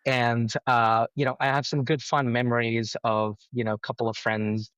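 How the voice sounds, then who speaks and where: A middle-aged man from India answering interview questions conversationally, haltingly, with many repeated words and filler phrases. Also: medium pitch, little pitch movement, fairly bright tone, clean tone, no echo, rough recording. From India, raised in Mumbai. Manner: halting, frequent "you know" and repeated words. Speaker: interview guest, cybersecurity professional.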